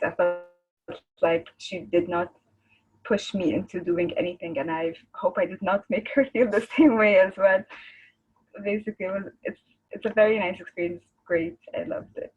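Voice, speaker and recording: A woman with a low, breathy voice chats on a video call. She rambles and searches for words, laughing easily as she goes. The call audio sounds muffled and dull. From Egypt. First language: Arabic. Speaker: guest artist. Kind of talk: casual video call chat.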